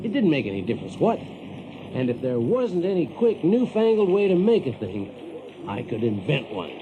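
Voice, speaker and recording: A man's voice: a little high, moderate pace, phone-quality sound, background noise. An actor playing a brash, practical Yankee factory man who tells his tale in a folksy, chuckling way for an audio drama. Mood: proud, amused. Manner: folksy, chuckling, animated, drawling emphasis. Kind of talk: audio drama first-person storytelling.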